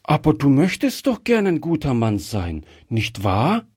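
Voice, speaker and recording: Casting: eager, innocent little storybook girl played by a man, lifted high pitch, lively swings, inquisitive and earnest. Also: measured pace, breathy edge, no room sound, clean studio sound, heavily acted. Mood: puzzled, earnest. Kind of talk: acted storybook dialogue.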